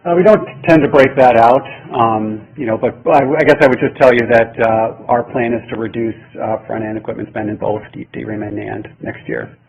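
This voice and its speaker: A man speaking as a finance executive, answering analysts in a hesitant, measured way on a lo-fi call. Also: medium pitch, fairly even intonation, creaky, husky. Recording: dull lo-fi call audio.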